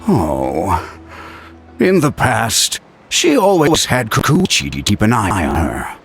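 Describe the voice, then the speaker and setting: A worried father in middle age for a game story. The voice is gravelly and a little low, swinging widely in pitch as it earnestly frets, with faint music underneath. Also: slow pace, heavily acted. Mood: sorrowful. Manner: earnest, expressive. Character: worried, weary father of a grown warrior daughter. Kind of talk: dramatic game voice acting.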